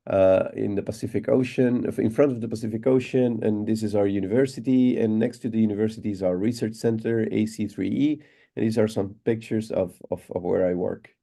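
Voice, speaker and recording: A man from Chile with a Chilean Spanish accent, giving an online lecture in a relaxed way, with frequent repeated words and fillers. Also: lowish voice, a little fast, gravelly. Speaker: guest lecturer. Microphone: computer mic on a video call.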